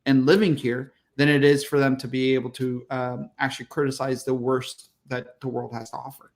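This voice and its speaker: A man's voice: mid-range voice, little pitch movement, fairly quick. A man in his thirties co-hosting a podcast, ranting bluntly in casual chat with plenty of swearing and jokes. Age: 30s. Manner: blunt, profane, jokey ranting. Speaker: podcast co-host.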